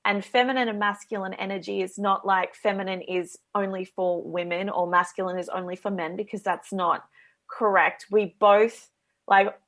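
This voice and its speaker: A woman's voice: mid-range voice, a bit bright. A woman with an Australian accent, a guest coach speaking earnestly and eagerly in a radio interview.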